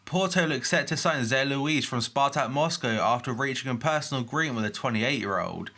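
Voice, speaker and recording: A man's voice: medium pitch, lively intonation, a little fast, pushed and bright. A young man with a groggy, hay-fever voice, reading a football transfer news roundup with brief personal asides.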